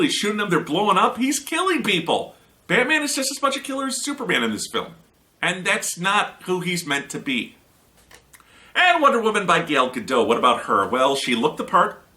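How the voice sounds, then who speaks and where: A man reviewing a superhero film on his vlog, opinionated and emphatic, his voice climbing high when he rants. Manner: animated and emphatic, rising sharply when ranting. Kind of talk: opinionated movie review monologue.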